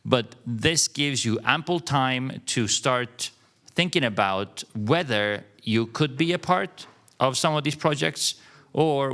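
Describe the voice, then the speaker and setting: Event host, man, mid pitch, resonant clear tone, casual explaining. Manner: relaxed, filler-laden explaining. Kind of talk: conference introduction.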